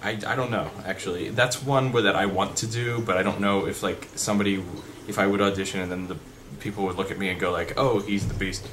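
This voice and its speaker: A young man with a deep voice chatting unscripted in a vlog, rambling with fillers and restarts. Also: steady tone, fast talker, few pauses, fairly bright tone. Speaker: vlogger. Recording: background noise.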